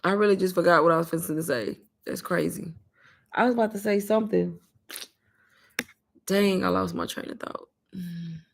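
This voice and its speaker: A woman hosting a casual livestream, pitching an online course and taking viewers' questions in a chatty, repetitive way while calling her viewers "y'all.". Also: steady tone, moderate pace, gravelly, long pauses. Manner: chatty, repeats herself, addresses viewers as "y'all".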